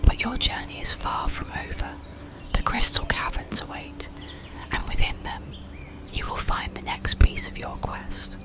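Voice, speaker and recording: A narrator voicing a gentle, wise forest sprite in a soft, soothing whisper for a bedtime story. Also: close to an everyday voice. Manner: soothing, unhurried.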